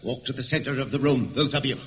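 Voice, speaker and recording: An actor playing a cold, arrogant gentleman murderer in an old radio thriller, menacing, mock-courteous and commanding. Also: mid-range voice, fast talker, close to an everyday voice. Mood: impatient, commanding. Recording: dull, hissy old radio recording with hall reverb.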